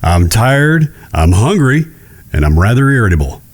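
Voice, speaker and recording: A man's voice: fairly low pitch, big pitch swings. Man playing a drawling, sardonic frontier judge, swooping delivery. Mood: weary, irritable. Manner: drawling, deliberate, dryly condescending. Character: weary, irritable, sardonic Old West circuit judge. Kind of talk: Western audio drama acting.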